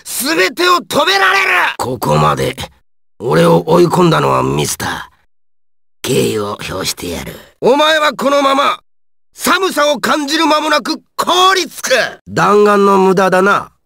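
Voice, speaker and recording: A hot-tempered ice villain from an anime video game. The voice is very high for a male, harsh and gravelly, and it swings wildly in pitch. The delivery is coarse, aggressive and menacing. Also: huge pitch swings, unhurried, bright, forceful tone, dry recording, clean studio sound, heavily acted. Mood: cold menace. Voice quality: raspy, gravelly.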